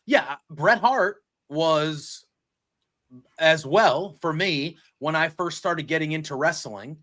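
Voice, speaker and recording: Bright-voiced man in his forties. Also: on the high side, takes it slowly. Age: 40s. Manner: laughing, rambling, swears freely. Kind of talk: casual chat.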